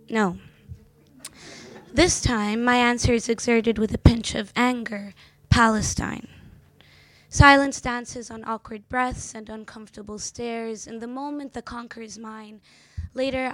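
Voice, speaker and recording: A woman in her twenties from Jordan, a native Arabic speaker with an American accent, performing spoken word poetry with rhythmic emphasis. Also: on the high side. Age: 20s. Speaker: poet reader.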